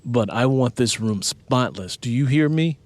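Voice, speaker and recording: A man playing a stern, exasperated father in an audiobook, booming and demanding. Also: expressive, unhurried, no room sound, natural voice. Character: stern, exasperated father scolding his teenage daughter.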